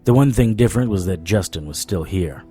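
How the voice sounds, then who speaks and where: A man's voice: sits low, lively intonation, brisk, warm tone, soft background music. A man playing a haunted, dogged supernatural investigator, telling his story in first person in a hard-boiled audio drama style. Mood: tense, narrating.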